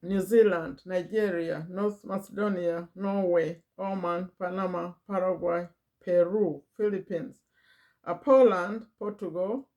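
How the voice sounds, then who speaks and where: A woman's voice: on the low side, steady tone, measured pace, mellow. Woman with a foreign accent, chatty. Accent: non-native English. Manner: chatty, repeats phrases, reads a list aloud. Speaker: business owner and channel host. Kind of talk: casual talk to camera. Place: Virginia, USA.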